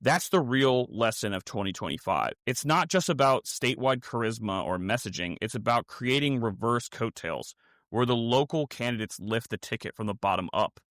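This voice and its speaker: An American man delivering scripted political commentary as a podcast host, earnest and measured, in short emphatic phrases. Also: medium pitch, animated, a bit bright, dry recording, studio quality.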